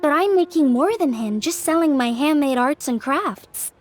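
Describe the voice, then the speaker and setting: A woman voicing a friendly, candid young craftswoman in a video game, with a breezy, expressive, conversational delivery. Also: high voice, normal speed. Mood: candid, explaining. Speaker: game character voice actor. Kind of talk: scripted video game dialogue.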